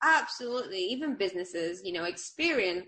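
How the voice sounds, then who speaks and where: A woman's voice: medium pitch, animated, a little fast, pushed and bright. A woman from Bulgaria with a Bulgarian accent, chatting casually in an interview, bubbly and laughing often between fillers. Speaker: online community manager.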